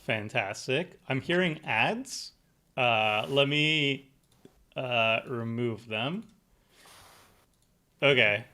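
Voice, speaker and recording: A man's voice: mid-range voice, extremely slow, long pauses, sharp tone. A man hosting a casual card game livestream, chatty and full of fillers, laughing often. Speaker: stream host.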